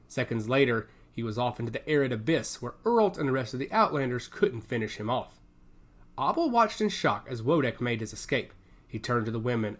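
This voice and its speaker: A man narrating an action story as a scripted, dramatic audiobook. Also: medium pitch.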